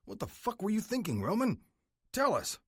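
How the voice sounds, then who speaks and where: A man's voice: high voice, huge pitch swings, normal speed, slightly rough, no room sound, clean studio sound, pitched above the performer's own voice, exaggerated voice. A man doing a raging biker vice president. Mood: furious. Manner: shouting, explosive. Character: furious male biker vice president, hot-tempered.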